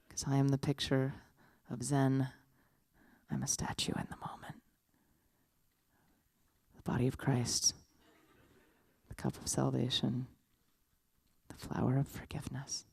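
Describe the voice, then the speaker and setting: A woman playing a serene, pious living statue, intoning slowly and solemnly in a hushed voice for a staged story. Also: rumbling low, little pitch movement, gravelly, breathy edge, no room sound, full character voice. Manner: hushed, slow, solemn mock-liturgical intoning.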